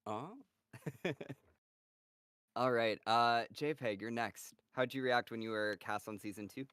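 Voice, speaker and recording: A man's voice: medium pitch, takes it slowly, a bit bright, smooth, no echo, clean studio sound. A man hosting a casual livestream Q&A with a voice cast, laughing often and encouraging each guest. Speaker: host of a cast Q&A.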